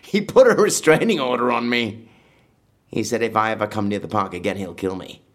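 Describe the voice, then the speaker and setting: Husky, brooding, vengeful theme park madman. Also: on the low side, lively intonation, a little fast. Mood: menacing, resentful. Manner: brooding, bitter, grandiose, prone to outbursts. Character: vengeful, obsessive male horror theme park designer. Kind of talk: audio drama dialogue.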